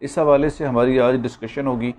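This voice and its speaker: A man's voice: mid-range voice, fairly even intonation, moderate pace, a little mellow. A man with a Pakistani accent giving an informal law lecture, instructively reminding students of points to remember.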